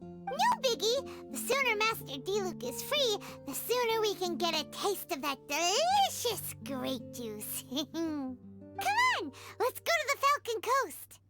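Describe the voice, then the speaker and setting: A woman voicing a tiny, cheerful, childlike fairy companion in a video game, squeaky, excitable and curious. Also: a little slow, heavily acted. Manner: exclamatory, refers to self in third person. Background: music.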